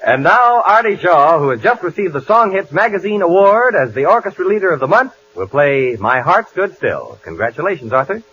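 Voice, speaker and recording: A man's voice: sits high, sing-song, fairly quick, few pauses, dull audio. A man announcing and joking along in a 1940s radio comedy, upbeat. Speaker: announcer and cast member. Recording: noisy 1940 radio broadcast.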